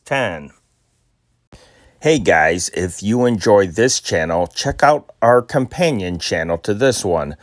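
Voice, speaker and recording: An amateur male host reads old newspaper stories aloud at a steady, mid-pitched delivery. He stumbles and restarts now and then. The recording is close and dry, with no sense of room around him. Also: dry recording. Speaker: newspaper reader and channel host.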